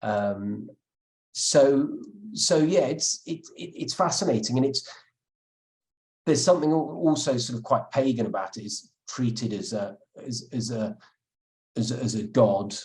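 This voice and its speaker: A man's voice: medium pitch, normal speed, darkish tone. A man, an author being interviewed, answering thoughtfully with many restarts and fillers. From England.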